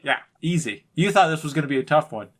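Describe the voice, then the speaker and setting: Man in halting, jokey podcast chat. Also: a little high, animated. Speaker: podcast co-host. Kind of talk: casual comedic chat.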